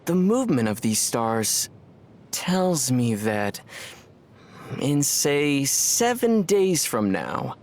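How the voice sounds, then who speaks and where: A man voicing a calm, thoughtful young traveler hero in a video game, speaking in a measured way. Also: mid-range voice, full character voice. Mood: mock-mystic.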